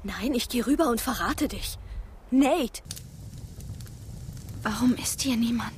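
Troubled young girlfriend in a radio thriller, highish voice, pleading conscience, faint background ambience. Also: animated, slow pace, darkish tone, slight background noise. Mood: tense. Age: young adult. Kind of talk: radio drama acting.

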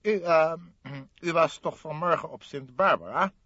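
Guy doing a pompous stout notary for an audiobook, raised pitch, hesitant and huffy, kinda muffled sound. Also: measured pace, pushed and bright, no echo. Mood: hesitant, insistent. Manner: hesitant, stammering, pompous formal speech. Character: stout, flustered, indignant male notary. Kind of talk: audiobook character voice.

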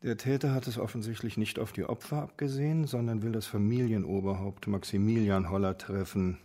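An actor playing a sober lead homicide investigator, measured and matter-of-fact, in a radio drama. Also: male voice, lowish voice, fairly even intonation, warm tone, close to an everyday voice. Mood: businesslike.

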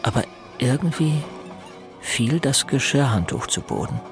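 A man's voice: medium pitch, sing-song, a little slow, over music. An actor narrating in the first person as a brooding man recalling boyhood, scripted for a German audio drama. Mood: hesitant, confessional.

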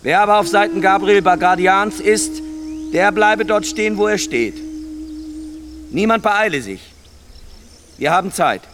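An actor playing a solemn village priest presiding over a vote, announcing in a measured, formal way in a radio drama. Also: male voice, high-pitched, expressive, background noise. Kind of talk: German radio drama acting.

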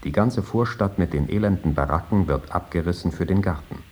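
A man with a husky voice reading scripted documentary narration in a terse, matter-of-fact manner. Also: low voice, fairly quick, a little mellow.

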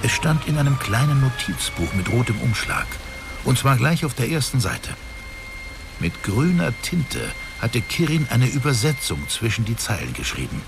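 An actor narrating a fantasy radio drama as a storyteller, with expressive, measured delivery. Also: male voice, medium pitch, background noise. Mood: intriguing narration. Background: clear music.

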